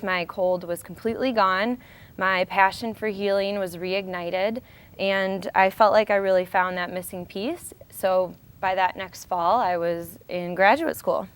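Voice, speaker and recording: Female host, American, smooth clear voice on the low side, informal educational presenting. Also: steady tone, measured pace. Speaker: show host, acupuncturist.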